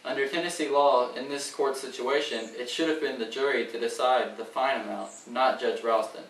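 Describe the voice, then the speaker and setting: A man's voice: mid-range voice, steady tone, normal speed, a bit bright, close to an everyday voice. Guy as a 1920s radio reporter, solemn scripted read, roomy sound, off the mic, slight noise. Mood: formal. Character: solemn 1920s male radio news correspondent. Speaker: news reporter. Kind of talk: scripted newscast reading, amateur period skit. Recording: roomy, distant, faintly noisy.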